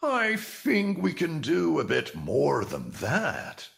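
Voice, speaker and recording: An actor playing a kind, generous noble husband who speaks decisively. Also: male voice, a little high, wildly swooping pitch, takes it slowly, gravelly, a bit bright, heavily acted. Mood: kindly.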